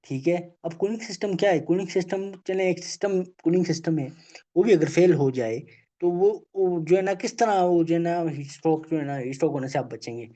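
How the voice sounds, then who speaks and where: A man giving an online health awareness lecture, halting and full of filler phrases, checking in with "okay?" after almost every point. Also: on the high side, dark tone. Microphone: video call. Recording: dull lo-fi video call audio.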